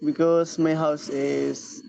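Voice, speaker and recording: Slow, hesitant young Filipino man. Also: on the high side, level tone, creaky, dark tone. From Philippines. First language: Hiligaynon. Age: 20s. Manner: hesitant, halting English. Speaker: prospective student. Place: home beside a busy road. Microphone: video call. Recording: muffled call with traffic noise.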